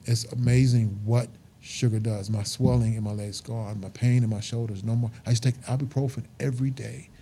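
A man's voice: mid-range voice, fairly even intonation, fairly quick, hardly any pauses, warm tone, slight background noise. A man in his fifties, a truck driver being interviewed, talking earnestly and emphatically and repeating his points. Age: 50s. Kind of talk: casual interview talk.

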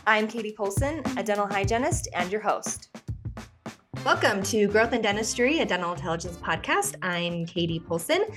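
A woman hosting a podcast in a chatty, enthusiastic way, her voice lowered and husky after an illness. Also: animated, normal speed, fairly bright tone, faint music behind. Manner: chatty, enthusiastic, loose with fillers. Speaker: podcast host, dental hygienist. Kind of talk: upbeat podcast intro.

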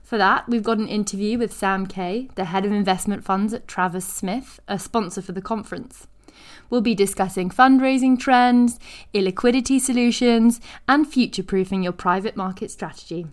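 A woman's voice: medium pitch, moderate pace. A woman hosting a podcast, introducing guests and asking interview questions.

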